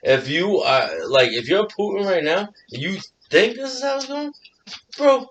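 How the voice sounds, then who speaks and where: A man's voice: high-pitched, moderate pace, distant mic, dull audio, a little hiss or hum. A man guesting on a podcast, joking around and swearing a lot in casual chat. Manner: joking, excitable, heavy swearing.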